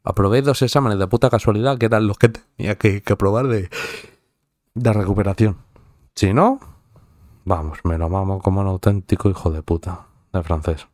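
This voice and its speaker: A man's voice: fairly low pitch, moderate pace, darkish tone, dry recording, clean studio sound. A young man from Madrid with a Madrid Spanish accent, chatting casually with viewers in a rambling, profane way full of fillers and restarts. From Madrid, Spain. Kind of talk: casual chat.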